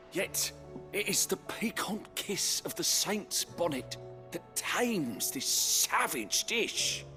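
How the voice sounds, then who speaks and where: An actor playing a florid, excitable male gourmand who declaims pompously in a fantasy video game. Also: high voice, full character voice. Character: grandiloquent, excitable male gourmand, theatrically enraptured by food.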